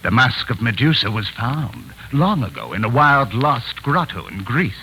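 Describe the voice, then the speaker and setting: A fanatical mad artist who sees himself as a righteous avenger. His delivery is theatrical and eerily sure of itself, lively in pitch with a sharp, forceful edge. It is 1940s radio thriller acting, heard through the noise of an old broadcast. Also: moderate pace, rough recording. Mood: calm, fanatical. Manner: theatrical, insistent, eerily self-assured.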